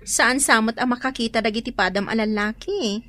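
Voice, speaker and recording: A woman's voice: a little high, normal speed, fairly bright tone, natural voice. A woman playing a kind, deferential secretary in a radio drama. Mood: concerned. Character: kind, deferential female secretary, attentive to her boss.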